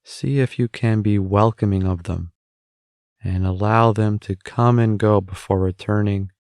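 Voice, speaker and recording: A man with a fairly low voice guides a meditation at a very slow pace. His delivery is calm and gentle, giving simple instructions with room between phrases. The sound is dry and close. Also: clean tone, dry recording.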